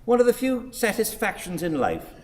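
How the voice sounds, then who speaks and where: An actor playing an irascible, sardonic old blind husband in a radio play, grumbling and declaiming theatrically. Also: male voice, high voice, huge pitch swings, moderate pace, darkish tone. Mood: querulous. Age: older. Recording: reverberant hall.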